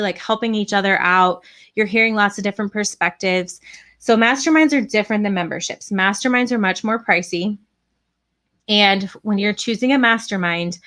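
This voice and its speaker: A woman's voice: mid-range voice, a bit bright. A woman giving casual, chatty advice as a business coach, with frequent fillers. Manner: chatty, many fillers and restarts.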